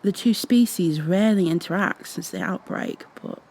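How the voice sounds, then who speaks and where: A woman's voice: fairly low pitch, normal speed, creaky, a little mellow. A woman playing a proud, exasperated goo girl special agent with prim, formal wording in an ASMR roleplay. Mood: puzzled. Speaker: voice actor in an audio roleplay.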